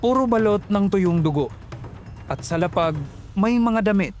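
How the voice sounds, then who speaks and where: A man's voice: high voice, lively intonation, normal speed, darkish tone, soft background music. A young man narrating a horror story in a tense, suspenseful telling.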